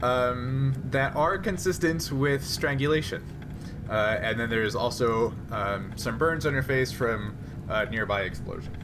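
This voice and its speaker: A man casually narrating a tabletop game as game master, in a halting, hesitant manner. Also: mid-range voice, background noise.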